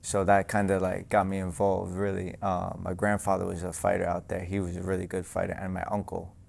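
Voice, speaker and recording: Gravelly low young New boxer. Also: male voice, a little fast. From New York. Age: young adult. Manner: relaxed, lots of fillers like "you know". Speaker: guest, amateur boxer. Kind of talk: casual interview. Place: boxing gym.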